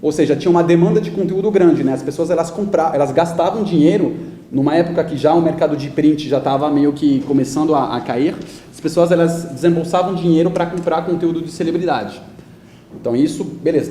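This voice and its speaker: A man's voice: on the high side, mellow. A man in his thirties with a French accent, speaking Portuguese as a second language in an informal, explanatory interview talk. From France. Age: 30s. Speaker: guest speaker, digital media company executive. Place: indoor event talk before an audience. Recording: echoey room with faint background noise.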